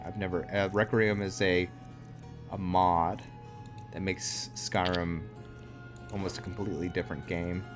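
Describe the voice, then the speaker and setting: Low-pitched man, unhurried gaming commentary. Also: little pitch movement. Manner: hesitant, thinking aloud. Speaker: gaming video host. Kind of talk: casual gameplay commentary. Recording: background music under close commentary.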